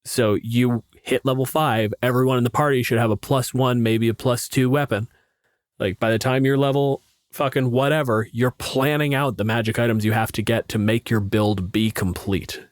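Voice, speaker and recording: A man with a mid-pitched voice talks casually in a dry, close recording. He is a blunt, opinionated podcast co-host who swears freely as he discusses tabletop game rules. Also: steady tone, normal speed, dry recording.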